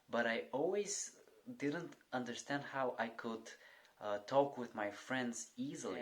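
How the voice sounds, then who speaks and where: Young male vlogger, earnest and measured, mid-pitched, very slow, deliberate delivery. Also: fairly bright tone. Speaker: vlogger and coach. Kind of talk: personal motivational monologue.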